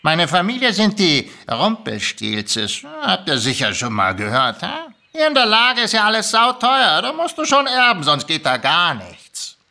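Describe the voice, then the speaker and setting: Woman as a cocky goblin, bright and forceful. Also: fairly low pitch, huge pitch swings, unhurried, hardly any pauses, full character voice. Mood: smug boasting. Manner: casual, colloquial, drawling, unfazed, boastful. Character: cocky, laid-back small grubby male goblin with big nose.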